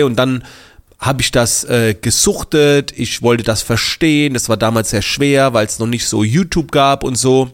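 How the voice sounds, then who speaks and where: A man hosting his podcast, slightly congested from a cold, telling a story casually with lots of fillers and restarts. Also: medium pitch. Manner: animated, many fillers and restarts. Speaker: podcast host.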